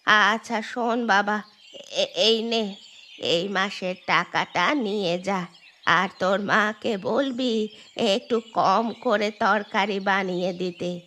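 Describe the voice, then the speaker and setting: An elderly village granny in a cartoon, played by a woman, frail and grateful. She speaks slowly and draws her words out in a gentle, pleading way. Music plays behind her. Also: mid-range voice, slight background noise. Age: older. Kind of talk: cartoon voice acting.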